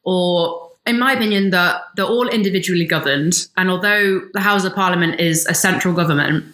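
A woman's voice: fairly low pitch, a little fast, fairly bright tone, reverberant. A woman from the UK co-hosting a podcast, opinionated and teasing in casual chat. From United Kingdom. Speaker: podcast co-host.

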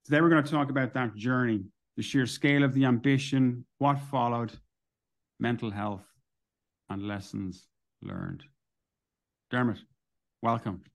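Host, man, mid-range voice, measured and clear delivery. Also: mid-range voice, big pitch swings. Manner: measured, scripted introduction. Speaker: podcast host. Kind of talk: interview introduction and questions.

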